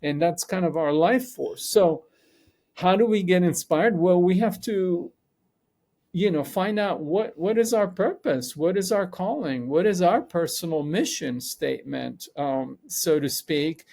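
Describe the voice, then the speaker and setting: Gravelly, fairly high-voiced man. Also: measured pace, darkish tone. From Israel. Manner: thoughtful, rambling with self-corrections. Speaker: podcast guest, psychologist and coach. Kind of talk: reflective conversational interview.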